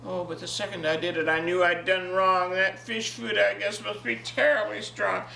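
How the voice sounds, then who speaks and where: A woman of ninety, a grandmother with a jovial, expressive manner, talking and telling stories to her family. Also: mid-range voice, normal speed, vocal fry. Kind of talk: casual reminiscing and animated story reading for family. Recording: echoey, distant home recording with faint noise.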